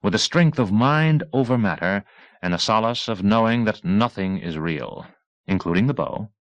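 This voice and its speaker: A low-voiced male audiobook narrator reads dramatic fiction. His phrasing is measured and deliberate, and the sound is close and dry, with very little room. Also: lively intonation, dry recording, muffled recording. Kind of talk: scripted novel narration, dramatic.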